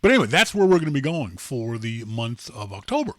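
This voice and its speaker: A man's voice: medium pitch, big pitch swings, fast talker, fairly bright tone, no room sound, clean studio sound. A man hosting a live tech podcast, rambling and joking in casual chat with many fillers and restarts. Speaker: podcast host.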